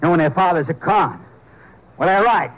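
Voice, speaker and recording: Surly ex-con, wildly swinging pitch. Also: male voice, animated, moderate pace. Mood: angry, impatient. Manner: gruff, curt, snapping. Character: surly, hot-tempered ex-convict husband and father. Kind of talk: 1950s radio drama acting. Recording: noisy old 1950s radio recording.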